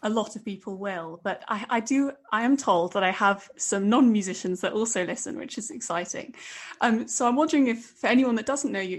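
A woman hosting a podcast, reading a scripted intro and then chatting with a guest in an eager, enthusiastic way. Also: medium pitch. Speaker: podcast host.

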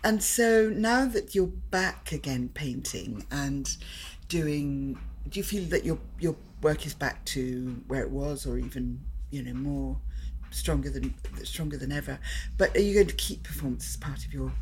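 A woman's voice: extremely low pitch, moderate pace, hardly any pauses, slight background noise, rough recording. A woman interviewer asking questions in a sympathetic, chatty manner.

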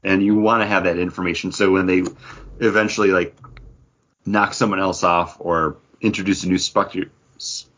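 A man's voice: low-pitched, flat delivery, normal speed, fairly bright tone. A man co-hosting a podcast in casual chat, talking in a rambling way with lots of fillers. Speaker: podcast co-host. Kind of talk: casual chat about comics and beer. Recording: dull lo-fi sound.

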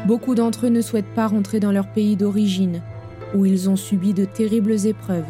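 A woman narrating an audio fiction in a sober, measured way. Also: mid-range voice, flat delivery, mellow, faint music behind.

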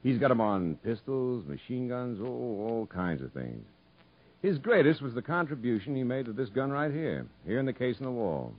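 An actor playing an earnest, fatherly prison captain in a 1950s radio drama, telling a story in a measured, emphatic way. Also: mid-range voice, very animated. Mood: earnest, reflective. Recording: muffled vintage 1950s radio recording.

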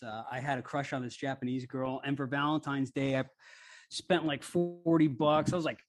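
A man's voice: medium pitch, fairly even intonation, normal speed. A man who grew up in Hawaii, chatting casually and telling rambling anecdotes with many restarts. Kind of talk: casual chat.

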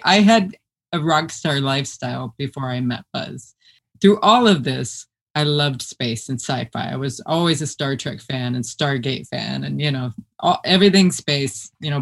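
A woman from the US Midwest with a deep voice, telling her story as an interview guest in a lively, chatty way and laughing as she talks. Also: gravelly.

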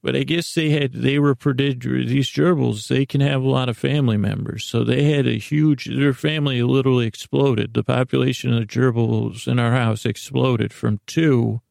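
A middle-aged man hosting a podcast with a silly, halting monologue that meanders and repeats itself as it drifts into tangents. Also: medium pitch, creaky, darkish tone, dry recording, clean studio sound. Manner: silly, whimsical. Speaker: podcast host. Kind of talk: rambling comedic monologue.

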